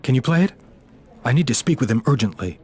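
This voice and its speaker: An actor playing a suave, controlled undercover secret agent in a video game cutscene. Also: male voice, mid-range voice, animated, quick pace, a little mellow, husky. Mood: guarded, polite. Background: music.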